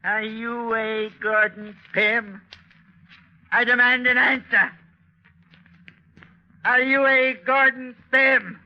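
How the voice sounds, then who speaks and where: A man's voice: extremely high pitch, flat delivery, slow, pauses often, heavily acted. A man playing a crazed, vengeful old sea captain, ranting and repeating his demands in a 1940s radio drama. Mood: vengeful, demanding. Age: older. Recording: dull, muffled 1940s radio recording.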